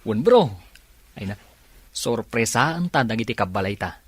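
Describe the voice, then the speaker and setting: A man's voice: on the low side, expressive, normal speed, husky, no room sound. An actor with a Filipino accent playing a chummy, teasing young delivery man in a radio drama, bantering and exclamatory. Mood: cheerful.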